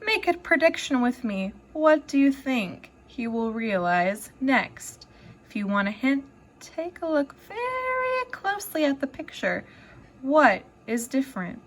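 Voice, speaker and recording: Female teacher, storybook read-aloud, higher-pitched, very unhurried, deliberate and expressive. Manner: expressive, deliberate, pausing to ask children questions. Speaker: elementary teacher reading aloud.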